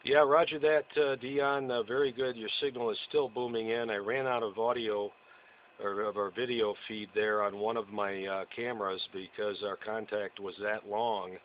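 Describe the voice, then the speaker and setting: Low-pitched guy on ham radio, rambling and hesitant, muffled lo-fi sound with a bit of noise. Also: fairly even intonation, moderate pace. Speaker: amateur and CB radio operator. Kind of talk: casual radio chat with call-and-reply procedure words. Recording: dull lo-fi audio with faint noise.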